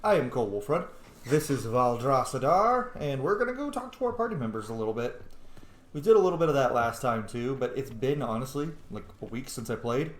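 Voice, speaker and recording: A man's voice: medium pitch, lively intonation, brisk. A man chatting loosely over his playthrough from an echoey room, the kind of informal host heard on a long roleplay series. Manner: rambling, informal, sweary. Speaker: gaming video host. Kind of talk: casual let's play commentary and roleplay. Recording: roomy echo, game music behind. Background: video game music.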